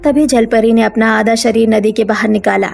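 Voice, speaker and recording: A woman narrates a children's style cartoon tale in a fairly high voice with noticeable creak. Her delivery is scripted storytelling, with faint music behind. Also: fairly even intonation, normal speed, a little mellow.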